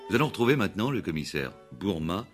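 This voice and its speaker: A man's voice: low-pitched, big pitch swings, quick pace. A man giving scripted narration as the narrator of a 1960s radio serial. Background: music.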